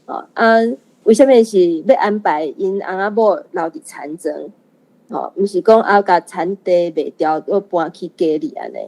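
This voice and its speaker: A woman's voice: on the low side, normal speed, dark tone, poor audio. A woman with a Taiwanese accent discussing a story and asking questions in a conversational way.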